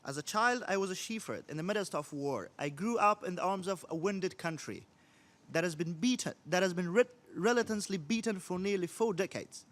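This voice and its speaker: Young man, high-pitched voice, earnest formal address to an assembly. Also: normal speed, fairly bright tone. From Afghanistan. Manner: earnest, declamatory, occasional restarts. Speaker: youth delegate. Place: large conference hall.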